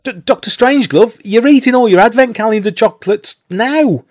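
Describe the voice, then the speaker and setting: A man's voice: extremely high pitch, normal speed, no echo, studio quality, soft background music. A man voicing a fussy, whiny sidekick who complains. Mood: complaining. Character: fussy, put-upon male sidekick.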